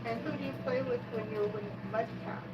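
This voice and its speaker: A woman's voice: fairly high pitch, fairly even intonation, fairly quick, muffled recording, heavy background noise. A woman hosting a live show, asking a guest musician short, curious questions. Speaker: host. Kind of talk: live interview. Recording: distant voice with loud music.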